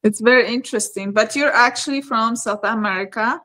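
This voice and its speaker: Bright-voiced woman hosting an interview. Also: fairly high pitch, a little fast. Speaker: host of the channel.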